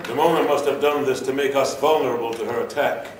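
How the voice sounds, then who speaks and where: An actor playing a noble, grave warrior leader in a staged radio play, with stately, measured speech. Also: male voice, mid-range voice, lightly acted. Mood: resolute. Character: noble, grave male gargoyle leader turned human, solemn warrior. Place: live convention stage reading. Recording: echoey hall, distant mic, faint noise.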